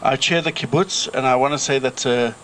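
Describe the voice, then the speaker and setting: A man's voice: mid-range voice, a little fast, fairly bright tone, a little hiss or hum, rough recording. South African man speaking outdoors. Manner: chatty anecdotal storyteller with filler-laden restarts. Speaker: kibbutz chairman. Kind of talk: speech at an outdoor reunion gathering.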